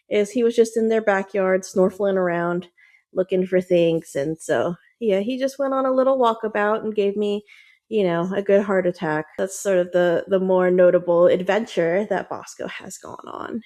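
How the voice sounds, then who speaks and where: Brief: podcast guest, woman, mid-pitch, loose rambling chat full of fillers, affectionate. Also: a little mellow. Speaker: podcast guest, dog owner. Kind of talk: casual chat.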